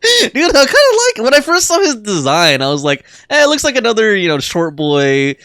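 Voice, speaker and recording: A woman's voice: fairly high pitch, wildly swooping pitch, brisk, fairly bright tone, no echo, clean studio sound. A young woman giving casual, slangy commentary as she plays a game. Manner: slangy, informal, musing. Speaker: gamer commenting on a playthrough.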